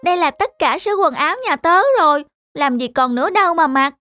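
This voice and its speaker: A woman's voice: sits high, lively intonation, a little fast, sharp tone, dry recording, faint music behind. A woman voicing a naive, obedient little village boy in a folk-tale cartoon, innocent and earnest. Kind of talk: animated folk-tale acting.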